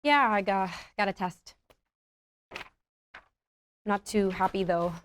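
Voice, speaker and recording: A woman's voice: medium pitch, slow pace, a little hiss or hum, lightly acted. A woman at a comedy table read playing a hesitant, wistful daughter in her early twenties. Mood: hesitant, low-key. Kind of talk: table reading of a comedy script.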